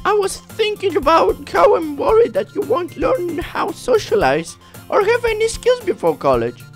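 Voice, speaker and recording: A man acts out a carefree anime schoolgirl from a visual novel in a very high, squeaky falsetto. She is bubbly, caring and exaggeratedly girlish, speaking over background music. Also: wildly swooping pitch, moderate pace, creaky, full character voice. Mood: worried, eager.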